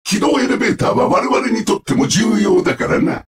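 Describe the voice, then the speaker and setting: An actor playing a sadistic, mocking combat-robot villain in a Japanese video game, with sneering, theatrical, drawn-out taunts. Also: male voice, high-pitched, lively intonation, far from the mic.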